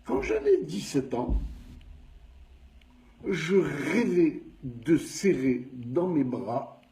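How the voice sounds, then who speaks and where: A man in his sixties with a Tunisian French accent, telling his life story slowly, stressing each step. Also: a little high, slight background noise. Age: 60s. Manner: slow, measured recounting with lively emphasis. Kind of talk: personal storytelling monologue.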